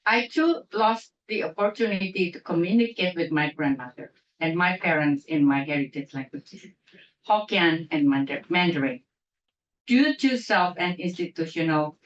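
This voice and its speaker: A woman from Indonesia giving a prepared closing speech at an academic symposium, careful and restarting on long words. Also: low voice. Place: lecture hall. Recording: echoey lecture hall, distant mic, muffled.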